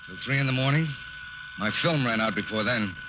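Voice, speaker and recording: A man's voice: mid-range voice, expressive, a little fast, lightly acted. An actor playing a determined, cool-headed male FBI agent in a 1940s radio drama. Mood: confident, earnest. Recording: noisy, muffled old radio recording.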